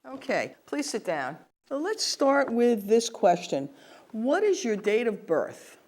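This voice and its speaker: Female interviewer role, formal and courteous, lively pitch. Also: slow. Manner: formal, polite questioning. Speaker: mock interviewer. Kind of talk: scripted role-play dialogue.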